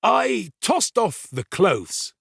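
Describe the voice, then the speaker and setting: Man playing a pompous, slow-talking, gravelly servant. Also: sits high, very animated, a bit bright, dry recording, clean studio sound, voice pushed up, exaggerated voice. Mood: dramatic, grave. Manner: pompous, drawn-out, emphatic. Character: pompous, self-important male servant telling his alarming adventure. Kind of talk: dramatised storybook reading.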